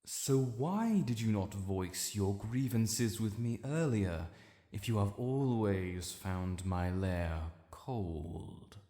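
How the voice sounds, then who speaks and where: Man voicing a slow, regal British dragon lord. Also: lowish voice, expressive, mellow. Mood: gently concerned. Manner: formal, measured, stately diction. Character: ancient male dragon lord, regal, stern yet protective. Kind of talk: fantasy audio roleplay, addressing the listener. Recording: echoey cavernous reverb.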